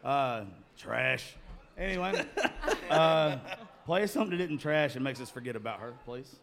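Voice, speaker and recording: A man's voice: medium pitch, lively intonation, unhurried, creaky, a bit bright. A man hosting a podcast recorded live at a music venue, loose, joking and profane. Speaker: podcast host. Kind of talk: casual live podcast chat.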